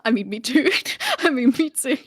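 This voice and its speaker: A woman's voice: high voice, wildly swooping pitch, moderate pace, husky. A woman playing in a tabletop roleplaying game, describing her character's actions in casual chat.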